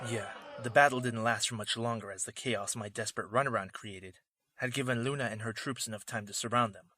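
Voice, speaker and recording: A man's voice: mid-range voice, fairly even intonation, a little fast, background noise, natural voice. A man playing a sardonic wolf-man drifter and former warrior, narrating and acting casually in an audio drama. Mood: wry narration. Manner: wry, casual. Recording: music behind.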